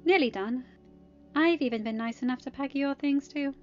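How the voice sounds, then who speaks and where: A woman voicing a fond, caring, composed adult woman in an audiobook story, kindly and clear in what she explains. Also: sits high, moderate pace, lo-fi sound, soft background music, pitched above the performer's own voice, natural voice.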